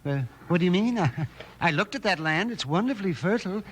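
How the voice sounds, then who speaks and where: A high-voiced, flustered professor. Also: male voice, animated, fast. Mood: naive, hesitant. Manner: hesitant, fussy, proper diction. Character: naive, flustered, genteel male university professor. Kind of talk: 1950s radio Western acting.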